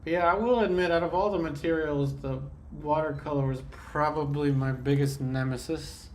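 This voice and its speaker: A man's voice: fairly high pitch, moderate pace, a little hiss or hum. A man hosting a live painting stream, sounding congested and a bit nasal as he chats easily with his viewers while he works. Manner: relaxed, chatty, answers viewer comments while working. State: congested from a sinus infection. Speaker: livestream art host. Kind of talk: live painting demo chat.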